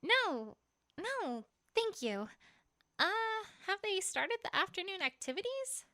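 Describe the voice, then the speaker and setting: A woman's voice: very high voice, big pitch swings, slow pace, pushed and bright, no room sound, clean studio sound, full character voice. A woman voicing an anxious young adult female pony, hesitant and apologetic, in a storybook audio drama. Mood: flustered.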